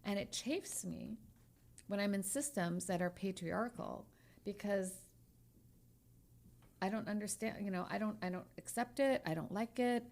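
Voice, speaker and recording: A woman's voice: medium pitch, unhurried, vocal fry, pauses often, darkish tone. A woman in her sixties, a lawyer co-hosting a podcast, talking frankly and emphatically and repeating phrases as she hunts for words. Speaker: podcast co-host, trial lawyer. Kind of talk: candid podcast conversation.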